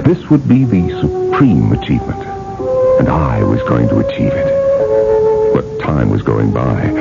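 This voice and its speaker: Deep gravelly tycoon, proud and driven. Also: male voice, exaggerated voice. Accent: American. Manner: commanding, self-assured. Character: wealthy, proud, determined industrialist narrating his quest for immortality. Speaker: lead actor and first-person narrator. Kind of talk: radio drama acting and narration. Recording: dull vintage radio recording, music behind.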